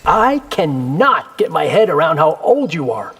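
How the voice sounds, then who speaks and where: An actor voicing a confident young warrior in a fighting video game, cocky, wry and determined. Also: male voice, on the high side, big pitch swings, a little slow, a bit bright, husky, in a large hall, exaggerated voice. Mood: defiant. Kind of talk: video game character acting.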